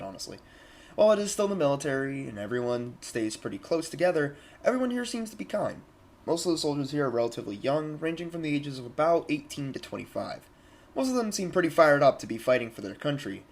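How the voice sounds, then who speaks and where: Guy playing young american soldier doing a war diary, talks quick, kinda husky, earnest. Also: on the high side. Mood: upbeat, sincere. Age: young adult. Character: eager twenty-year-old American soldier keeping a war journal. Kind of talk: scripted first-person journal narration.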